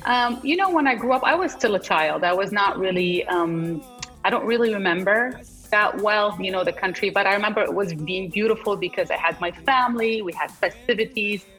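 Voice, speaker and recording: A woman's voice: medium pitch, expressive, brisk, a bit bright, faint music behind, poor audio. A woman from Iraq and Canada, a fitness coach, chatting casually as a podcast guest and laughing often. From Iraq, then Canada. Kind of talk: casual interview answers.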